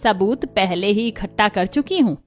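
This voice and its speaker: A woman's voice: medium pitch, animated, measured pace, natural voice. A woman voicing a caring, indignant middle-aged mother-in-law in a melodramatic cartoon family drama, earnest and emphatic. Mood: resolute. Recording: music under the voice. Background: background music.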